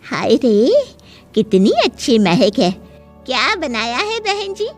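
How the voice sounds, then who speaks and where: A woman's voice: high voice, very animated, takes it slowly, soft background music, heavily acted. A woman voicing a chatty, good-natured visiting older woman in a cartoon moral story, sociable and coaxing. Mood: cheerful.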